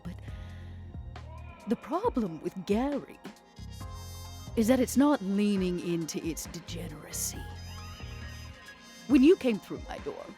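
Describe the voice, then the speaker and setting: A woman acts out a smooth-talking female vampire crime boss for a roleplay podcast. Her manner is sly and theatrical, each line laid out deliberately. Faint music plays behind her. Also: mid-range voice, a little mellow, natural voice. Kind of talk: in-character tabletop roleplay.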